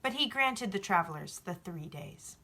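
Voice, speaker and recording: A woman's voice: mid-range voice, animated, normal speed, sharp tone. A woman reading a novel aloud as storybook narration, in an informal way. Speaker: audiobook reader.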